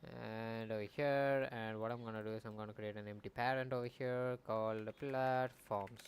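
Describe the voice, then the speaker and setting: A man's voice: on the low side, steady tone, normal speed, clear, resonant tone. A young man casually streaming as he builds a game, rambling and correcting himself as he thinks aloud at a desk mic. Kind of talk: casual live coding commentary.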